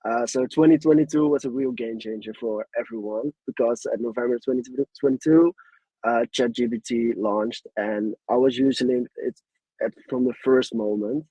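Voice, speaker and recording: A Dutch man presents on a video-call webinar, talking casually and stopping often for fillers. His voice is husky and mellow, and the sound is dry and close. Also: mid-range voice, steady tone, moderate pace, dry recording, poor audio. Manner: casual, hesitant with frequent fillers. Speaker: guest presenter.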